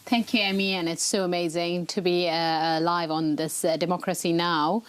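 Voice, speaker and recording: A woman's voice: on the low side, fairly even intonation, normal speed, smooth. A woman, a guest in a studio interview, explaining things earnestly with frequent hesitations. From Ethiopia. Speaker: interview guest, rights activist. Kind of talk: broadcast interview answers. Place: broadcast studio.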